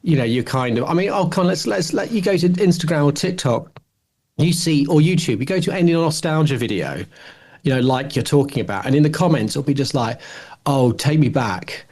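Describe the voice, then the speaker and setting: A man's voice: a little high, lively intonation, fairly quick, a little mellow, breathy edge. A middle-aged man with a British accent co-hosting a podcast, chatting casually in a rambling, stop-start way. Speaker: podcast co-host. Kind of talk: casual chat about television.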